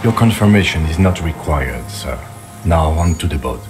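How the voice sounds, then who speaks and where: An actor playing a composed, dutiful police lieutenant in a video game, speaking formally and matter-of-factly. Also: male voice, rumbling low, lively intonation, normal speed, over music. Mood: calm, practical. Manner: formal, procedural, matter-of-fact.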